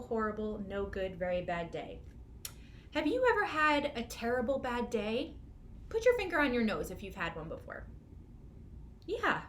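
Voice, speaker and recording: A woman hosting a children's storytime as a librarian, giving simple, encouraging instructions to young children. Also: on the high side. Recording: clearly noisy room recording.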